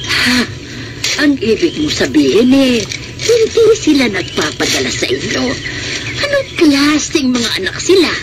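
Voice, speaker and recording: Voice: medium pitch, wildly swooping pitch, measured pace. A performer playing an outspoken, nosy Filipino village friend in a classic radio drama, prodding and exclamatory. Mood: indignant. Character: nosy, outspoken provincial woman friend, indignant on another's behalf. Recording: noisy outdoor ambience in radio drama.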